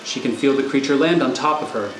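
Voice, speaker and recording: Echoey male narrator, measured delivery. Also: mid-range voice. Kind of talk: scripted narration of stage directions. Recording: echoey room, faint noise.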